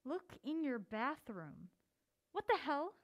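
A woman's voice: high-pitched, sing-song, slow, full character voice. A woman playing an anxious, mild-mannered character in an audio drama, skeptical and easily exasperated. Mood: uneasy, irritated. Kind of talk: naturalistic audio drama acting.

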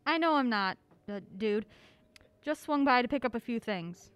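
Feisty young female sleuth played by an actress, high swooping voice, brisk and sardonic, impatient, audio drama. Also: lively intonation, a bit bright, clear, resonant tone, dry recording. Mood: brisk, wry. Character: feisty, quick-witted young woman, impatient amateur sleuth.

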